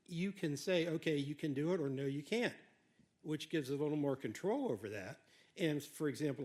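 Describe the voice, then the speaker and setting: A man with a big voice that carries across a township meeting room, pitched a little high. He talks emphatically and acts out yes-or-no replies as he lays out an idea. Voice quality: big, carrying voice. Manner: animated, emphatic, quotes replies aloud. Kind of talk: proposing ideas at a public meeting.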